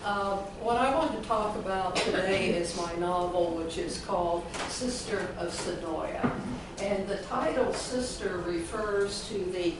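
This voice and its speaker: An older woman giving an informal talk as a guest author, halting and correcting herself as she goes. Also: medium pitch. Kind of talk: informal talk about own novel. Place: indoor event room at an arts center. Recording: echoey, noisy room, distant microphone.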